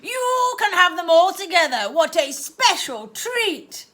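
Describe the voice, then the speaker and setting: Shrill storybook villain aunt, put on by a woman reader: loud, clipped, syrupy-sweet, bright edge. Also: sing-song, slow pace, exaggerated voice. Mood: theatrical menace. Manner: loud, clipped, sickly-sweet baby talk. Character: shrill scheming cruel older aunt.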